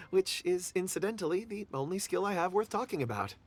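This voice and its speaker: A man's voice: high voice, lively intonation, normal speed, a little hiss or hum. A man voicing a cheerful, scatterbrained young man who rambles in an easygoing way. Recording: game dialogue over music.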